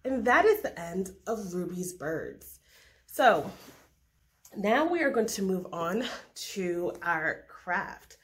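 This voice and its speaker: A woman reads aloud to children as a story host. Her delivery is slow and measured, with animated swings in pitch at an ordinary middle register. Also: a little hiss or hum. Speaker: story reader and craft host.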